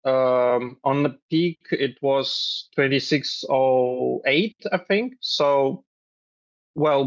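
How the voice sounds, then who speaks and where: A man's voice: medium pitch, no room sound. Young male grandmaster from Belarus, hesitant and filler-heavy, dull dry line. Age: 20s. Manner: hesitant, many fillers, laughs lightly. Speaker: chess grandmaster and coach. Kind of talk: interview answers.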